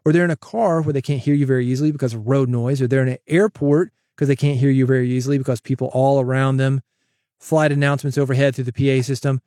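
A man hosting a solo podcast, coaching listeners in an energetic, direct way on a broadcast mic. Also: mid-range voice, quick pace, no echo, clean studio sound. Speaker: podcast host. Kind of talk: solo podcast advice with sponsor read. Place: home studio, processed dry voice. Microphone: studio broadcast dynamic mic.

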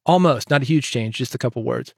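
A man's voice: mid-range voice, fast talker, gravelly, husky, dry recording, clean studio sound. A man hosting a podcast solo, talking conversationally as an experienced audio producer.

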